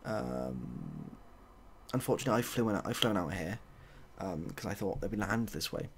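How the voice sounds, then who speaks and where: A man playing a sceptical, blunt male seagull in a storybook tale, with a deadpan manner. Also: low-pitched, normal speed. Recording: clearly audible background noise.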